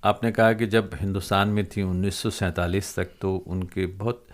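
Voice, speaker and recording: A man with a markedly low-pitched voice hosts a conversation. He speaks as an interviewer, summing up what was said and steering the talk onward. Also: flat delivery, moderate pace. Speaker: interviewer, host.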